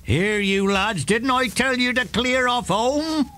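An actor playing a gruff but kindly country shepherd who scolds, in a children's audio drama. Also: male voice, very high voice, slow, pushed and bright, heavily acted. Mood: challenging.

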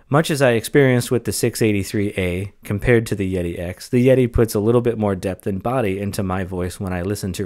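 A man in his thirties giving a relaxed, measured product review from a small home office, speaking close into a desk condenser mic. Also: on the low side. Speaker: tech reviewer. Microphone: close desk condenser mic on boom arm.

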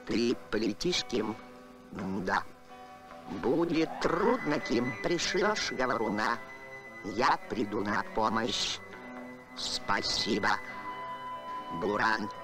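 A slow, solemn talking bird. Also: male voice, fairly low pitch, lively intonation, over music. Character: talking bird mimicking brave space captains' voices. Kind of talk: Soviet animated film acting.

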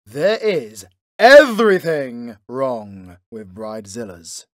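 A man performs a vain, lovesick horseman of conquest for an audio roleplay. His mid-pitched voice swoops theatrically through bitter, whiny snark, in a dry close recording. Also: very animated, takes it slowly, fairly bright tone, dry recording. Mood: disgusted ranting. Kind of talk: boyfriend ASMR comedy roleplay.

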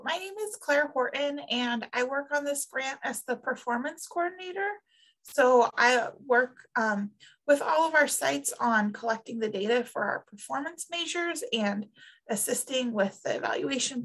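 A woman's voice: high-pitched, a little slow, a bit bright, low-quality recording. A woman introducing herself as a performance coordinator, matter-of-fact, over a video call. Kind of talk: brief work introduction.

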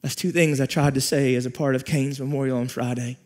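A man in his forties preaching a sermon on a large church stage, with repeated phrases, pauses and rising emphasis. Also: medium pitch, fairly even intonation. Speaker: pastor.

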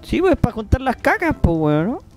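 A young Chilean man with a Chilean accent, chatting casually and loudly on a gaming livestream, swearing freely. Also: very high voice, moderate pace, soft background music. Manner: loud, excitable, swears freely. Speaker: streamer.

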